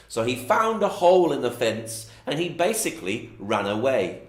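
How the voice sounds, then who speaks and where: A man's voice: on the high side, reverberant. A man narrating a children's Bible bedtime story, with repetitive, sing-song storytelling phrasing.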